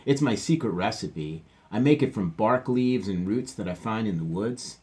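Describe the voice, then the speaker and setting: A man's voice: lowish voice, animated, normal speed, darkish tone, close to an everyday voice. A man voicing an eager, overconfident father bear in a storybook read-aloud. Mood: boastful. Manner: hearty, overconfident.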